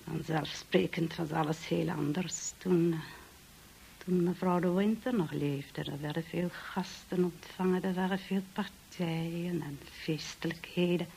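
Icy housekeeper, formal, stiff, coolly deferential, radio play, faint noise behind. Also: female voice, on the low side, steady tone, a little slow, gravelly, mellow, low-quality recording. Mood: cold, formal. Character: stern, icily formal housekeeper of a grand manor. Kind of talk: radio drama acting.

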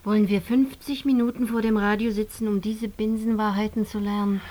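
A woman's voice: mid-range voice, little pitch movement, brisk, mellow, lightly acted. An actress performing in a German radio drama, voicing a female court announcer and dinner hostess. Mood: questioning.

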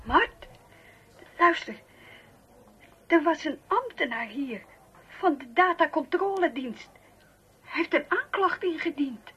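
An actress in a radio play playing an anxious, pleading wife who is frightened. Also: female voice, sits high, animated, slow, pauses often, a bit bright, lo-fi sound, heavily acted. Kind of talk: Dutch radio play acting.

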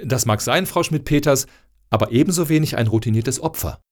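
A man's voice: medium pitch, fairly quick, natural voice. A man playing a combative, self-pitying activist for offender boxing in a satirical radio play, arguing provocatively. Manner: argumentative, provocative.

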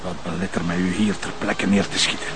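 A man's voice: sits low, level tone, brisk, over music, lightly acted. A man with a Flemish accent playing a determined, protective police commissioner in a television crime drama. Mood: tense, defiant.

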